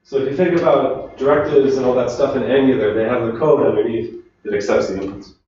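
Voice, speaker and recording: A man's voice: mid-range voice, fairly quick, slight background noise. Finnish man giving a meetup talk. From Finland. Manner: relaxed, chatty with the audience. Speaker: conference speaker. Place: large echoey meetup room. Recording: distant, roomy, dull room recording.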